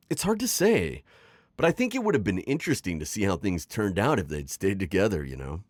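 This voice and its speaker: A man performs a middle-aged retired magical villain in an intimate ASMR roleplay. His low voice swings dramatically up and down as he coaxes and teases. The delivery is theatrical and sly, recorded very dry. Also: very animated, normal speed, a little mellow, no echo. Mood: wistful, sly. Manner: theatrical, teasing, manipulative coaxing with sighs and chuckles. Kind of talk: scripted ASMR roleplay acting.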